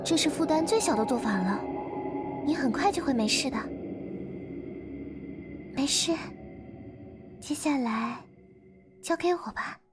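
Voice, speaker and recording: An actress playing a sweet young magical-girl nurse in a visual novel, speaking in a gentle, reassuring manner. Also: high-pitched, animated, a little slow, long pauses, loud music behind the voice. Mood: soothing concern.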